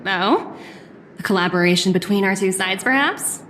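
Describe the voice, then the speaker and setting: An actress playing a poised, cultured young corporate executive in a video game, speaking politely and in measured, articulate phrases. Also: female voice, medium pitch, animated, fairly bright tone, slight background noise, lightly acted. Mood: smooth, businesslike.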